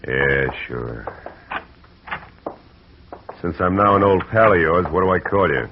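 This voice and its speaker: A man's voice: low voice, animated, slow. A man playing a hard-boiled private detective who narrates in a terse, wry way in an old radio drama. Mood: dry. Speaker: narrator and lead. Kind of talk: 1940s radio acting. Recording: muffled old radio recording with loud hiss.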